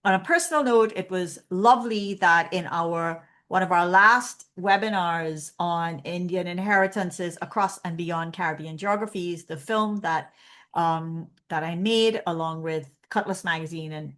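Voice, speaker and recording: A woman's voice: lowish voice, a bit bright. Woman hosting a webinar introduction. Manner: measured, reads bio lists. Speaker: seminar host. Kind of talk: formal introduction. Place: online webinar.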